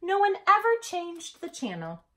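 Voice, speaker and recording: Bright, expressive woman hosting children's storytime. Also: extremely high pitch, normal speed. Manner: affectionate, expressive, emphatic storyteller delivery with questions to the listener. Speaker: children's read-aloud host and tutor. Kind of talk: warm storytime talk addressed to young children.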